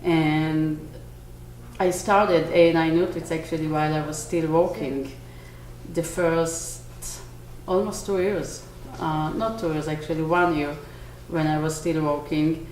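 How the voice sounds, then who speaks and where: Low-voiced Israeli woman in echoey room. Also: steady tone, darkish tone. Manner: earnest, with small restarts and searching pauses. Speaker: bakery owner. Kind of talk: on-camera interview. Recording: echoey room, faint noise.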